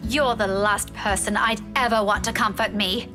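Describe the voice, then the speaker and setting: A woman voicing an indignant, sharp-tongued young woman in a visual novel, haughty and snappish. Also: medium pitch, steady tone, moderate pace, lightly acted. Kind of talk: visual novel character dialogue. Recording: music playing behind the voice.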